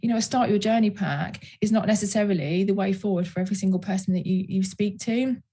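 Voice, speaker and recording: A calm, measured and reassuring woman with a slightly husky voice, giving a sales training presentation. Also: medium pitch. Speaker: guest trainer for direct-sales team. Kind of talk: training presentation over video call.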